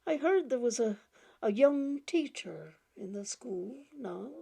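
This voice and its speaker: A woman reading a short story aloud in a gentle, halting way, repeating words and laughing softly as she goes. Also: a little high, expressive, creaky, slightly rough. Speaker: audiobook reader.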